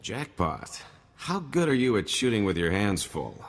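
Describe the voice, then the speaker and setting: A sly streetwise merc buddy for a game, really low voice. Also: male voice, wildly swooping pitch, a little slow, in a large hall, soft background music, full character voice. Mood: sly, amused. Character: sly, greedy, laconic male mercenary sidekick. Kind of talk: video game voice acting.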